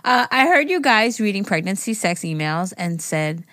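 A woman's voice: medium pitch, very animated, moderate pace, fairly bright tone, dry recording, studio quality. A woman co-hosting a podcast in casual, blunt, informal talk, swearing freely. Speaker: podcast co-host. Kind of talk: casual chat, reading listener emails aloud.

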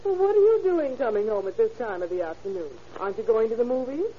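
A homely, fond mother in a 1930s radio play, acted by a woman. Her questioning voice sits a little high, under clear noise on a dull old recording. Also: expressive, fast talker, lightly acted. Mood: mildly surprised.

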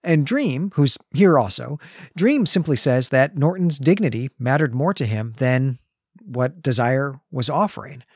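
A man's voice: medium pitch, expressive, measured pace, darkish tone, husky, no echo. Man podcast host, close dry sound. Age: 40s. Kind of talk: conversational literary discussion.

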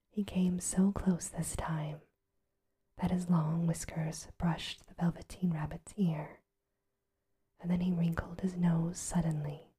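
A woman reading a bedtime story aloud in a soft, even ASMR whisper. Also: on the low side, husky.

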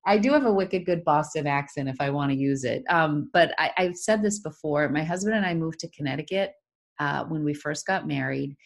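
A woman's voice: low-pitched, a little fast, gravelly. A woman in her forties from Massachusetts, co-hosting a podcast in rambling casual chat with frequent laughs. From Massachusetts, USA. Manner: chatty, rambling, laughs often. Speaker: podcast co-host.

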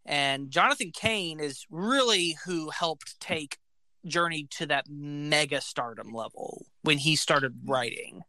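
A man with a high voice, talking eagerly about a band in casual podcast chat, full of facts and "like"s. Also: animated, slow pace, bright, forceful tone, no echo. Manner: enthusiastic, fact-filled, says "like" often. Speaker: podcast co-host.

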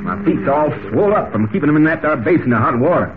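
A folksy, stubborn cowboy voiced by a male actor drawls in an American Western accent, his raised voice leaping up and down excitably. It is broad radio serial acting on a thin vintage recording with faint hiss. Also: on the high side, fast talker, phone-quality sound, close to an everyday voice. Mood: folksy storytelling.

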